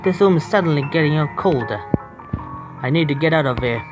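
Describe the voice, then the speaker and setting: A man's voice: a little high, expressive, dull audio, over music. Jittery man reading a horror game aloud. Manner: halting, stumbling reading, scared outbursts at the game. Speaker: gaming streamer. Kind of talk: reading a horror visual novel aloud with reactions.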